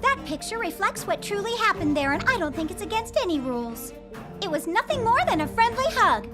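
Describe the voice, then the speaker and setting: A woman's voice: very high-pitched, very animated, brisk, over music. A woman voicing an earnest, brave teenage schoolgirl in an English anime dub, speaking sincerely and emphatically. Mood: defiant. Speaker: voice actor.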